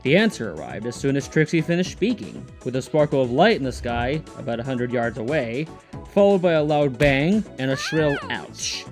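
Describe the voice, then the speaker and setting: A man's voice: medium pitch, sing-song, moderate pace, soft background music. A man reading scripted story narration as the narrator.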